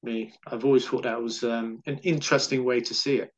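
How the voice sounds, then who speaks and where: A man's voice: medium pitch, steady tone, a little fast, highs cut off. A man with a stammer, answering questions in a casual interview, repeating syllables and words as he talks.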